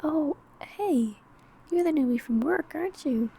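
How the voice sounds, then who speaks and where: Woman playing predatory female vampire, ASMR roleplay, breathy murmur, very mellow, falsely sweet, faint background ambience. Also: sits high, animated, unhurried, slight background noise, full character voice. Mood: sweetly apologetic. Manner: soft, breathy, whispery murmuring. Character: ancient female vampire, obsessive, predatory, feigning sweet clumsy coworker.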